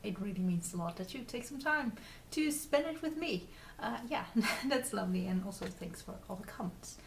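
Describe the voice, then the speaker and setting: A woman's voice: medium pitch, lively intonation, moderate pace. Distant-miked woman with a Dutch accent, slight cold. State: recovering from a cold. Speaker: podcast host. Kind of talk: casual chatty craft vlog. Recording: distant mic with faint noise.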